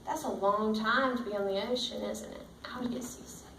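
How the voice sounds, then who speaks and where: A woman reading a picture book aloud as a teacher, pausing to put simple questions to young pupils. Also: on the high side, steady tone, vocal fry, a bit bright. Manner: checks understanding with simple questions. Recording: roomy, distant mic, faint background noise.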